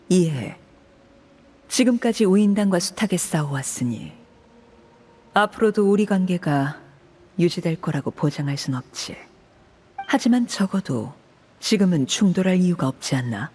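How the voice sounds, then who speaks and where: A woman playing a commanding, coolly composed orphanage head in a video game, speaking formally and with measure in a breathy voice. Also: fairly low pitch, mellow. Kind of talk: Korean video game voice acting.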